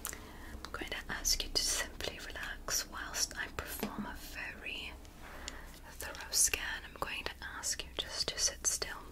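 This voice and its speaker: Whispering woman, ASMR alien medical examiner, very slow, deliberate, soothing, gently attentive. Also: low-quality recording. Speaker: ASMR performer.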